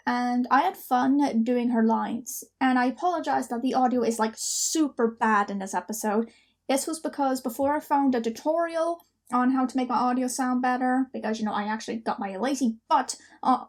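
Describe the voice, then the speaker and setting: A woman with a fairly high voice talks casually as a reaction host. She rambles along and pokes fun at herself as she comments. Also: a little mellow. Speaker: host of reaction video, amateur voice actor.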